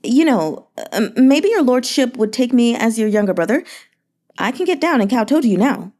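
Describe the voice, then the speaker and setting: A woman voicing a fawning, smooth-talking young male merchant with a lilting, wheedling way of speaking. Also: on the high side, animated, moderate pace, darkish tone, close to an everyday voice. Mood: gushing. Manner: lilting, wheedling, full of little interjections.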